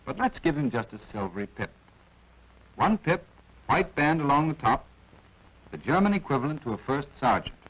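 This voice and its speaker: A man's voice: mid-range voice, big pitch swings, moderate pace, pauses often, fairly bright tone, slightly rough. A man with an American accent narrating a 1940s military training film, instructing in a clipped way with wry asides. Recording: dull, band-limited vintage film soundtrack.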